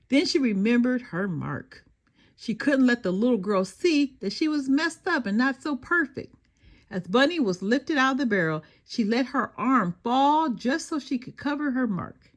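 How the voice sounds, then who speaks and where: An older woman reading a children's story aloud in an expressive storytelling style. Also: fairly high pitch, measured pace.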